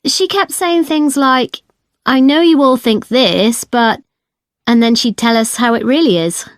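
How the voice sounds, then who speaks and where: A woman's voice: fairly high pitch, a little slow, no room sound, clean studio sound, close to an everyday voice. An actress playing a frank, independent-minded young female student in a scripted, conversational listening-test dialogue. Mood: chatty. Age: young adult. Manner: conversational, firm.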